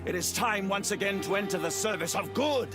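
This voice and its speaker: A man's voice: sits high, a little fast, lightly acted. An actor playing a zealous, self-righteous paladin lord in a video game, a vengeful father with pompous, theatrical oratory. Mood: rousing, commanding. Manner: pompous, grandiose battle oratory. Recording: loud music under the voice.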